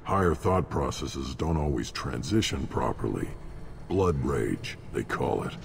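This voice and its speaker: An actor playing a surly, blunt alien warrior in a video game, gruff and dismissive, with a deep gravelly voice. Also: male voice, lively intonation, measured pace, heavily acted. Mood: gruff, matter-of-fact. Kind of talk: video game character acting. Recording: long reverb, clear background noise.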